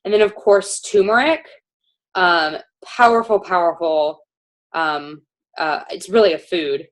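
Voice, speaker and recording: Woman, casual product presenter, slightly low voice, chatty and filler-heavy. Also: measured pace. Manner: chatty, informal, many fillers.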